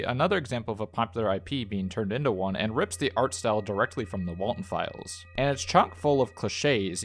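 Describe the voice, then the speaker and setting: A man hosting a video essay, reading a scripted review in a blunt, opinionated, casual way. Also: mid-range voice. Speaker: host of a video essay channel.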